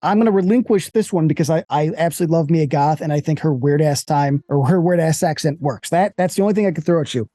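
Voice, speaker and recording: An American man talking casually and profanely about movies as a podcast guest, rambling with quick jokes. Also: fairly high pitch, no room sound. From United States. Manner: animated, profane, slips into mock voices.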